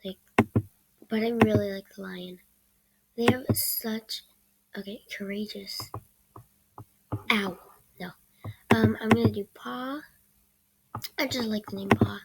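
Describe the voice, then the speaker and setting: Sick teenage girl mumbling over loud noise. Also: on the high side, fairly even intonation, slow, creaky, long pauses. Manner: hesitant and rambling, often trailing into soft mumbles. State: sick, losing her voice. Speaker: gaming channel host. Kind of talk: casual gameplay commentary. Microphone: computer microphone during screen recording. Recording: loud background noise with clicks.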